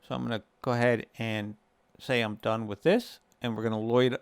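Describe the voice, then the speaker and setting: A man's voice: medium pitch, little pitch movement, normal speed, clear, resonant tone, no echo. A man hosting a gaming tutorial, explaining things step by step in a casual, conversational way. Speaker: gaming video host. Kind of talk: casual screen-share tutorial.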